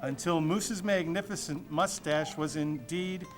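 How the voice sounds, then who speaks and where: A man's voice: a little high, unhurried. A man reading a rhyming children's story aloud, with music playing behind him. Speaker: storybook reader.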